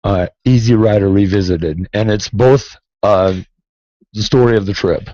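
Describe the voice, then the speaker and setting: A man's voice: lowish voice, a little slow, mellow, dry recording. A man in his sixties, a guest author on a radio show, talking in a chatty, self-deprecating way and laughing often. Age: 60s. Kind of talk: casual radio interview. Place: radio studio. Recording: dry, dull-sounding radio studio audio.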